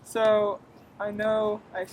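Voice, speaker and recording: A man's voice: sits high, monotone, slow pace, slight background noise. A man interviewing a guest, asking questions and giving short affirming replies. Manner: brief, affirming back-channel replies. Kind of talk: casual interview.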